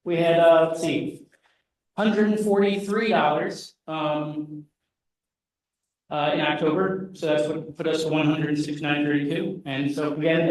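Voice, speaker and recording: A man giving a treasurer's report at a neighborhood meeting, plain-spoken and halting with fillers. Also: fairly high pitch. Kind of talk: casual financial report at a meeting. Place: echoey community meeting room. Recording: very echoey room, voice far from the mic.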